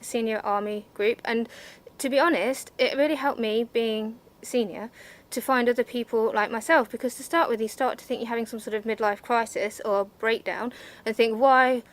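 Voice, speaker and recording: A woman's voice: a little high, steady tone, normal speed. Frank woman in her forties. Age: 40s. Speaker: interviewee. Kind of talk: casual interview answers.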